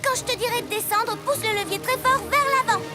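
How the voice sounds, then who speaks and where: A woman's voice: extremely high pitch, fast talker. A woman voicing a young superhero girl, self-doubting but brave, in a French cartoon dub, speaking earnestly and expressively. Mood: determined. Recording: loud music behind the voice.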